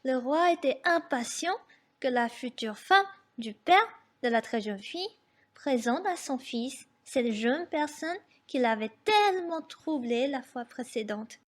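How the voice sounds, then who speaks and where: A woman playing the storyteller of a French radio play, narrating a fairy tale in a measured way. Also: sits high, animated. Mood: composed.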